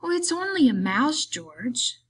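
A woman voicing a big, simple, childlike male farm laborer in an audiobook, eager and pleading, with halting, repetitive, rustic speech. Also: sits high, very animated, pitched above the performer's own voice. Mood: anxious, evasive.

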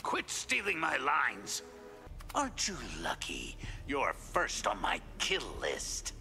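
An actor voicing a sadistic, darkly playful masked killer in a video game, gravelly and breathy, mocking as he savors his threats. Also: on the high side, huge pitch swings, takes it slowly, pushed and bright, faint music behind, full character voice. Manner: mocking, menacing, savoring words. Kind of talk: video game villain dialogue.

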